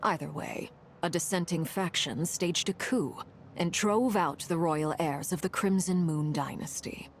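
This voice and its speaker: A woman playing a composed, commanding harbinger of cursed fire in a video game, speaking in a measured, solemn, formal way. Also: fairly low pitch, slight background noise. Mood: measured, explanatory. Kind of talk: dramatic video game voice acting.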